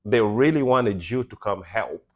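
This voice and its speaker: A young man from Belgium speaks in a very low voice, telling stories casually and putting on quoted lines as he goes. The recording is slightly dull and lo-fi. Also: unhurried. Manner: animated, acts out quoted exchanges. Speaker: podcast guest, nightlife VIP host. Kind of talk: casual interview storytelling.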